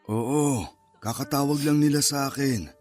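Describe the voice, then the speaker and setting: An actor playing a steady elderly grandfather with a measured, consoling way of talking, in a Filipino-accented Tagalog radio drama. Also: mid-range voice, soft background music, lightly acted. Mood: somber.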